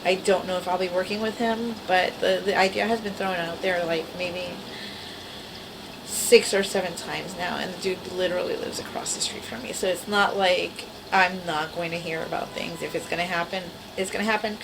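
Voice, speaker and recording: Lady in her 40s with a teeny girlish voice, kinda low-pitched, rambling and wry, lots of noise behind her. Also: fairly even intonation, normal speed, gravelly. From the Bronx, New York. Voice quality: small, girlish voice. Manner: rambling, self-deprecating, wry. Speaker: vlogger. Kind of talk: casual chat to camera. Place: at home. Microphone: camera mic.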